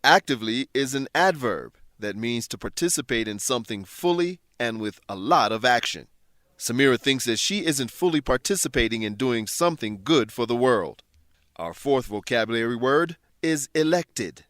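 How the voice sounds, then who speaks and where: A man hosting and narrating a scripted language lesson, explaining things in a clear, measured teacher's manner. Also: mid-range voice, lively intonation, a bit bright, no echo, studio quality. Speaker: host and English teacher.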